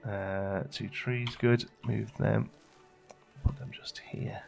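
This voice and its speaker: A man giving casual, low-key gameplay commentary as a video host, thinking aloud and muttering to himself as he works. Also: level tone, slow pace, vocal fry, faint music behind. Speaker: let's play video host.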